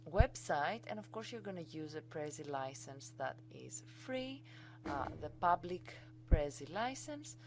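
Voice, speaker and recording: A woman's voice: low voice, a little hiss or hum, poor audio. A woman with a Greek accent, a native Greek speaker, giving an enthusiastic, chatty screencast tutorial as a college instructor.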